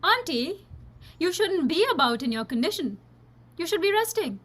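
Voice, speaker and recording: Woman acting a high-pitched, animated young lady. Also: normal speed, heavily acted. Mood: startled, uneasy. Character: highborn young English-raised lady, anxious and guarded. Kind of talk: radio drama acting.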